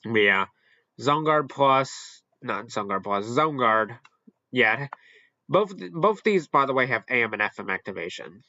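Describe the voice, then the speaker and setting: A man hosting a casual, unscripted ranking commentary, halting and rambling as he corrects himself along the way. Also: medium pitch, expressive, pushed and bright, dry recording, dull audio. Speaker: video host ranking products.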